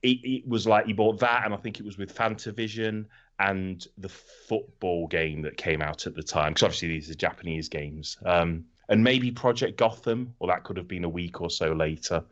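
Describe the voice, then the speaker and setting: A middle-aged man with a low voice hosts a casual podcast. He rambles through his stories and swears freely. The recording is a little dull and lo-fi. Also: fairly even intonation, normal speed, fairly bright tone. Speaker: podcast host. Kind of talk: casual podcast chat, telling anecdotes.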